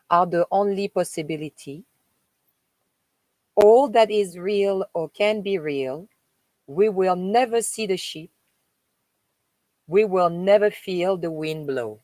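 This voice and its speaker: A woman from France with a French accent, a spiritual host reading a text aloud and stopping for chatty asides, with slow, emphatic delivery. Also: medium pitch, darkish tone, dry recording, low-quality recording. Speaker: spiritual live-stream host. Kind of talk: reading aloud with spoken commentary.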